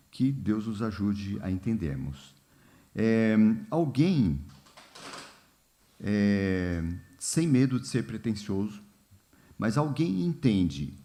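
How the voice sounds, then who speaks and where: A man leading a church Bible study in a relaxed, informal way, often pausing with fillers and asking the congregation questions. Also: lowish voice. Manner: unhurried, many fillers and "né?", asks the congregation questions. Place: church hall.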